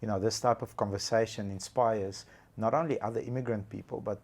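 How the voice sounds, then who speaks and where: Earnest low-voiced man from Bulgaria. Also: fairly even intonation, a little fast, a little mellow, breathy edge. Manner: earnest and encouraging, with some stumbles. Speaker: interview host. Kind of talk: casual interview talk.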